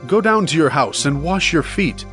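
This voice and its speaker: Commanding, deliberate king. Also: fairly high pitch, expressive, fairly bright tone, faint music behind. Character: authoritative male king giving calculated orders. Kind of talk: dramatized audio Bible acting.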